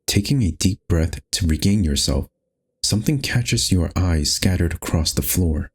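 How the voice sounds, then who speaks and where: A man with a very low, husky voice hosts a game playthrough. He reads visual novel narration and character lines aloud. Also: animated, normal speed. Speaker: gaming host.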